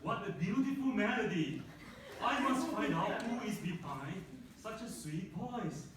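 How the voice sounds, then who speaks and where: A man's voice: sits high, expressive, unhurried, crowd noise. A man playing a fussy, gallant young prince, declaiming theatrically in a comic children's fairy tale. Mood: admiring. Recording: echoey room from far off, noisy.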